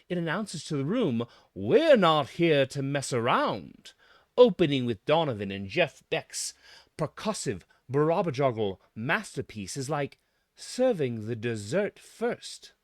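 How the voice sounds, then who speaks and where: A man delivering a scripted mock-scholarly monologue in a pompous, deliberate manner with dramatic emphasis. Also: a little high, very animated, dry recording, studio quality.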